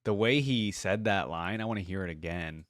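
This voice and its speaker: A man's voice: deep voice, normal speed, fairly bright tone, faint music behind. A young man giving casual reaction commentary, musing aloud in an informal way. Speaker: reaction video host.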